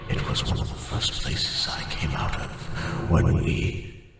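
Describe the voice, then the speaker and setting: A man voicing a hulking, gentle, monstrous armored guardian in a scripted ASMR roleplay, with a breathy gravelly rumble and halting, formal, solemn phrasing. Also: lightly acted. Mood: solemn, explanatory. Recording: very echoey, distant, faint noisy ambience.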